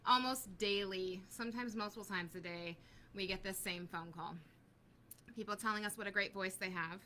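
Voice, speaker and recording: A woman's voice: mid-range voice, moderate pace, bright, forceful tone, a little hiss or hum. A woman hosting a casual casting-advice chat, talking easily and laughing often. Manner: chatty, laughs often, plays out little skits. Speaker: casting team host.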